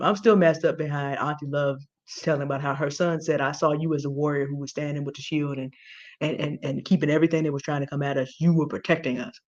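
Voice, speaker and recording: A woman co-hosting an online talk, giving informal commentary straight to viewers, emphatic and confiding, with repeated words for effect. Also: low-pitched. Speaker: online show co-host. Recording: dull, lo-fi audio.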